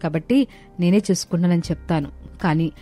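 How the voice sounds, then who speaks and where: A woman's voice: fairly low pitch, brisk, dark tone, natural voice. A woman acting the earnest women of a household in a dramatized family moral story. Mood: earnest, pleading. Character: earnest young Indian daughter-in-law and her scolding household women. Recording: music behind the voice.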